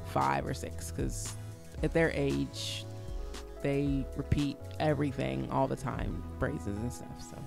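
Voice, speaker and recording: A woman co-hosting a podcast with her husband, speaking softly and thoughtfully with a stutter. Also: very deep voice, fairly even intonation, takes it slowly, creaky, music in the background. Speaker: podcast co-host. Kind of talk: casual chat between spouses.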